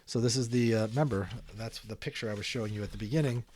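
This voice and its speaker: A man's voice: fairly low pitch, fast talker, darkish tone. A man reading a children's book aloud expressively, adding casual explanatory asides for young listeners. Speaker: audiobook reader.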